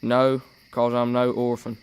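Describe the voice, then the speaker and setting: An anxious, stubborn boy of ten , acted by a young man in an amateur radio drama. The voice is smooth and clear, with little rise and fall in pitch. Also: monotone, a little slow, natural voice. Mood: sullen. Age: teenager or young adult. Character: anxious, stubborn ten-year-old brother. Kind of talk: amateur radio play acting.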